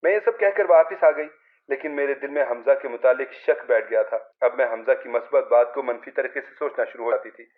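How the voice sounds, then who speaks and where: A male narrator reads a scripted story in the first person. His voice sits at an average pitch for a man. The recording is narrow and muffled, like an old radio. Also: a little fast.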